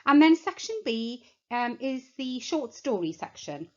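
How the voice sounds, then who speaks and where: A woman teacher sets out course details in a measured, explanatory way, slowing down to list points one by one. Her voice sits a little high, and the recording sounds dull and lo-fi. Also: sing-song. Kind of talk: course information talk.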